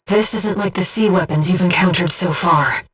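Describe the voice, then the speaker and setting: A woman voicing a gentle, composed, earnest disembodied female guide in a video game, speaking in a measured, softly formal and precise way. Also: fairly low pitch, breathy edge, distant mic, rough recording. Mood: composed, focused. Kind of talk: scripted video game voice acting, mission guidance. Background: music.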